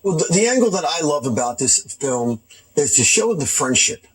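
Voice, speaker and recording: Husky New man, halting and informal. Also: on the high side, very animated, rough recording. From Hoboken, New Jersey. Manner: halting, informal, repeats phrases. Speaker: film producer, interview guest. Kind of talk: casual interview chat. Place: TV studio.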